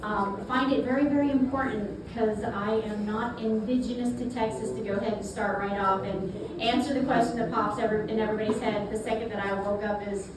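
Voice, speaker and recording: A woman's voice: mid-range voice, steady tone, fairly quick. A middle-aged woman with a South Carolina accent, speaking as a guest at a networking event in a folksy, chatty way. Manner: folksy, chatty, repeats words. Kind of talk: networking talk to a live audience. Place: event space. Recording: echoey room from far off, noisy.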